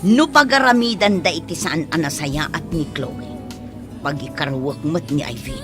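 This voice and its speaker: A woman's voice: sits low, moderate pace, over music, lightly acted. A woman playing a stern, bossy, scheming village woman in an Ilocano radio drama, with an Ilocano accent. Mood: conspiring.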